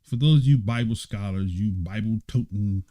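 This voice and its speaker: A man's voice: fairly low pitch, normal speed, dark tone. A man leading a live-streamed Bible study, talking conversationally and checking in with listeners after nearly every point. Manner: conversational, asks for amens, frequent "right?" and "okay"; muttering while fiddling with stream settings. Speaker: Bible teacher.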